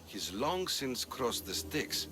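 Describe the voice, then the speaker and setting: A man playing a measured, gossipy ancient Greek townsman in a video game. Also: medium pitch, sing-song. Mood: reflective. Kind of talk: video game character acting.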